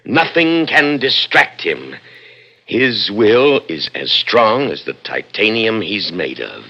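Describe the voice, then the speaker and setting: An actor playing a cold, obsessive, middle-aged scientist, speaking emphatically and deliberately in a 1960s radio drama. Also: male voice, lowish voice, very animated, sharp tone, slightly rough, highs cut off, heavily acted. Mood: proud, fervent. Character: obsessive, cold, commanding scientist father, proud inventor. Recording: vintage radio recording with music behind.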